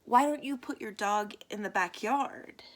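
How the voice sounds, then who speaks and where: A woman's voice: mid-range voice, measured pace, fairly bright tone, a little hiss or hum, voice pushed up, lightly acted. A woman voicing an inquisitive, incredulous child in a children's picture book read-aloud. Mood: puzzled. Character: inquisitive, incredulous child questioning a friend's odd household.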